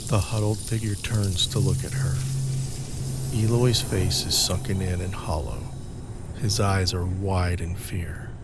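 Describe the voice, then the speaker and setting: Low-voiced male narrator over ambience. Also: steady tone, a little slow. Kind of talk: scripted dramatic narration. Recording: clear background ambient noise.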